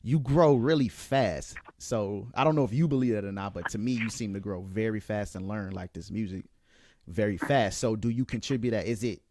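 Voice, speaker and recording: A man with a fairly low voice hosts a casual interview. He circles around his questions, halting and rephrasing as he goes, over clearly noisy audio with clicks. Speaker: interview host.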